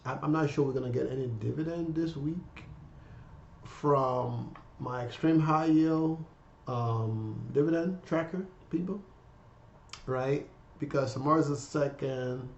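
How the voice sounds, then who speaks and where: A man in his fifties with a cold and a raspy voice, hosting a casual finance vlog and rambling to viewers with lots of fillers. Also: medium pitch, darkish tone. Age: 50s. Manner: rambling, many fillers, addresses viewers as guys. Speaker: finance channel host. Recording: echoey room, dull lo-fi sound.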